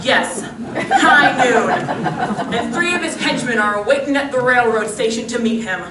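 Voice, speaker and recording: A woman's voice: a little high, unhurried, over music, a crowd in the background, lightly acted. A woman playing an alarmed townsperson in a comic old-time radio Western, urgent and melodramatic. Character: breathless, alarmed Western townsperson bringing bad news. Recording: distant, echoey, noisy live stage recording.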